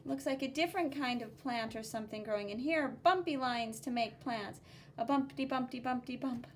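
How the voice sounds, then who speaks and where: A woman teacher reading a picture book aloud to children, explaining the drawings in a simple, instructive, expressive way with sing-song emphasis on key words. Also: sits high, fairly quick, slight background noise.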